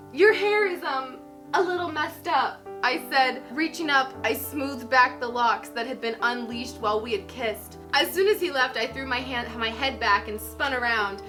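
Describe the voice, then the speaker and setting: Giggly woman vlogger, high bright voice, reads stuff out all theatrical then just chats. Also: measured pace, faint music behind. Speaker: vlog host. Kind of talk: dramatic reading of own teenage writing with casual chat.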